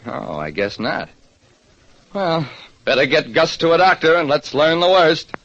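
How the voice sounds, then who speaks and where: A man playing a wry, steady American private detective, narrating colloquially in 1940s radio drama style. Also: a little high, unhurried, heavily acted. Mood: concerned. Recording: dull, clearly noisy old radio recording.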